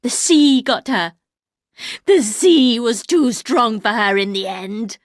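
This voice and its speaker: A woman voicing a bitter, grieving older housekeeper who speaks in a harsh, cold, slow and accusing way. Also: on the high side, no echo, studio quality.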